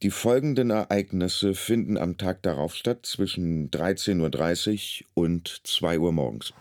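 An actor playing an anxious, brooding man in his fifties, halting and deadpan in a radio play monologue. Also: sits low. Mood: deadpan unease. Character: anxious, ruminating 52-year-old male emergency patient, inner monologue. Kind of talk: German radio play acting.

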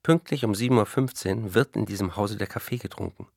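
An actor playing a driven, obsessive novelist in a radio play, husky and creaky, with emphatic declarations. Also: male voice, lowish voice, fairly quick, a little mellow, no echo, studio quality. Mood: rigid, strict. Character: driven, obsessive male novelist, rigid about routine.

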